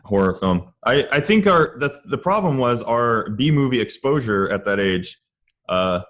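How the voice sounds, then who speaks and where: A man's voice: mid-range voice, animated, measured pace, fairly bright tone. An American man from Florida in a casual podcast chat, laid-back and chuckling as he talks. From Florida, United States. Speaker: podcast guest or host.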